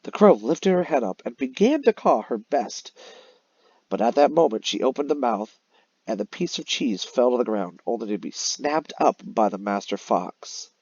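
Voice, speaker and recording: A man's voice: mid-range voice, very animated, normal speed, a little mellow, breathy edge, no room sound, muffled recording. A man teaching young children in an explanatory way, as in a recorded read-aloud lesson. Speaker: elementary teacher. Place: voice-over on a screen-recorded slide lesson.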